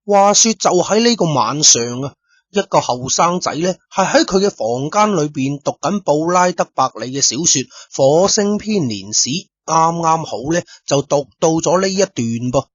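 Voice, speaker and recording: A man's voice: a little high, lively intonation, normal speed, breathy edge, no room sound, highs cut off. A man with a Cantonese accent narrating a story in colloquial radio-drama style, speaking directly to his listeners. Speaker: storyteller, host.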